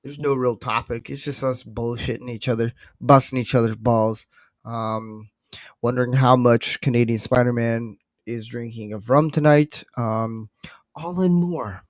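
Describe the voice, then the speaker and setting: A man with the flu, his voice deeper than usual, hosting a casual, joking round-table podcast. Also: steady tone, unhurried, no echo. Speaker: podcast host. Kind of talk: casual group podcast chat.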